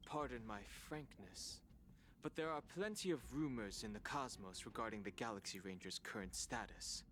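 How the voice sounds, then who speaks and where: A man's voice: fairly low pitch, animated, moderate pace, fairly bright tone, slight background noise. An actor playing a stern, guarded space crewman in a video game, formal and suspicious. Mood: formal distrust.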